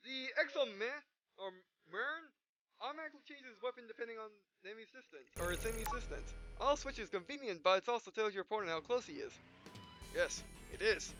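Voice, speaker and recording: High bright man reading a game aloud. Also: rough recording. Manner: reads punctuation aloud in a mock-dramatic way. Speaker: let's play gamer. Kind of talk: reading game text aloud with casual commentary.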